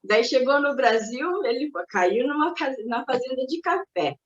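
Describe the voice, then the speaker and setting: A woman's voice: high-pitched, animated, moderate pace. An older woman born in Japan, speaking as an interviewee and recalling her family's past in a reflective, hesitant way with many restarts. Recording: roomy, dull recording.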